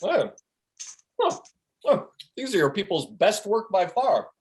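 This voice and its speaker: Excitable paranoid friend, played by a man, theatrical, spaced-out, comic play reading, very lively pitch. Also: slow, exaggerated voice. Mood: awed. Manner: theatrical, wildly swooping delivery. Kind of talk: comic stage script table read. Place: home, online video call.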